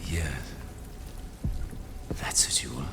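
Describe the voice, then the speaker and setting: An actor playing a sinister, mocking interrogator in a game cutscene, coldly theatrical, with a deliberate, taunting delivery. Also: male voice, heavy background noise, natural voice. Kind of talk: dramatic game cutscene acting.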